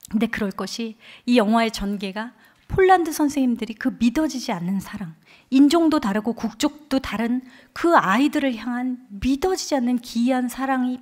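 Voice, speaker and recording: A woman in her forties giving a heartfelt testimony as a guest speaker at a church revival, telling her story with earnest conviction into a microphone. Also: on the high side. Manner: earnest storytelling, quoting others with lively emotion. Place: church sanctuary.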